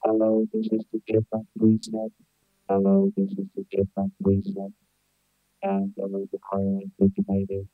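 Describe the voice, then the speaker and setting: A man's voice: low-pitched, completely flat delivery, moderate pace, no echo, phone-quality sound, faint music behind. A man speaking through a vocoder as a robotic synthesizer voice. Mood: repeating test phrase.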